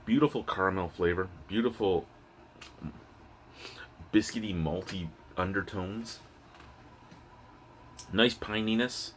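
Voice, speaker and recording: A man's voice: low voice, takes it slowly, pauses often, fairly bright tone. A man from Ontario with a Canadian accent giving a casual, rambling, opinionated beer review. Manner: rambling, opinionated, occasionally profane. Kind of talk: casual unscripted product review. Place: indoor room used for recording reviews. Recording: noisy room with clear background hum.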